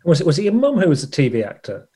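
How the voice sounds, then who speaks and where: A man's voice: fairly high pitch, wildly swooping pitch, racing, warm tone, breathy edge. A man hosting a casual interview, asking questions in a hesitant, rambling way. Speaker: interviewer, host.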